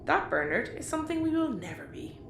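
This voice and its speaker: Exasperated capable spaceship woman, wry, highish lively voice, audio comedy. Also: brisk. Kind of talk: sci-fi audio comedy acting. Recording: roomy echo, faint background noise.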